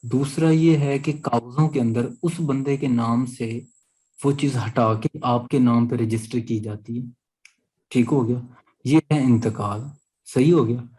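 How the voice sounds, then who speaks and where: A man's voice: mid-range voice, fairly even intonation, unhurried, warm tone, low-quality recording. A man with a Pakistani accent teaching a law class, explaining step by step and often checking understanding. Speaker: law lecturer. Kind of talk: explanatory class lecture with student questions.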